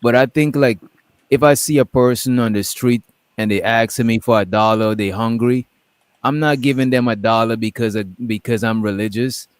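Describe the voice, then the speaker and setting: Casual livestream talker, male, from Guyana. Colloquial, reflective delivery, medium pitch, very little room sound. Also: normal speed, smooth, dry recording. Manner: colloquial, reflective, often checking he's understood. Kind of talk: casual chat on a group livestream.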